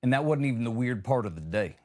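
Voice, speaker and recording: A man's voice: medium pitch, lively intonation, fast, dark tone. A man hosting a comedy show, delivering a conversational monologue with exasperated, emphatic punchlines.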